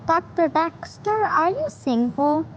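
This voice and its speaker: Small boy, high childlike voice, inquisitive, cheeky, audio drama, background noise. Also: expressive, takes it slowly, lo-fi sound, exaggerated voice. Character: innocent, cheeky, curious sick little boy.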